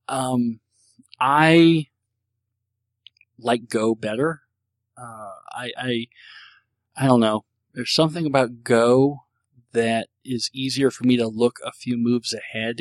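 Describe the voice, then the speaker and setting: A man's voice: medium pitch, a bit bright, no room sound. A man hosting a casual solo show, answering listener questions in a rambling, self-deprecating way with frequent restarts.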